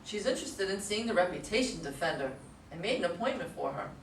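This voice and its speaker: A woman in a staged play reading as an eager, lovestruck office assistant, excitable and theatrical. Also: fairly low pitch, fast. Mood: bright, innocent. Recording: distant mics, echoey room, faint noise.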